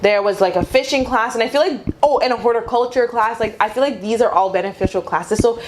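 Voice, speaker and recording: A woman's voice: a little high, fast. Young woman giving casual advice, noisy recording. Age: young adult. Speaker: vlogger. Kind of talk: casual advice chat to viewers.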